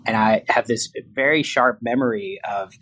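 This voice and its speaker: A man's voice: on the low side, normal speed, fairly bright tone, poor audio. A man in his late thirties, a guest and law professor, speaking reflectively in a podcast interview with many fillers and restarts. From New York, raised in Bangkok.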